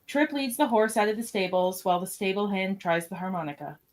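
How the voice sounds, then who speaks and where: A woman narrates an amateur radio drama from a script. Her voice sits at an average pitch for a woman as she reads the scene directions. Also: expressive, a little fast, very noisy. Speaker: narrator of a podcast radio play.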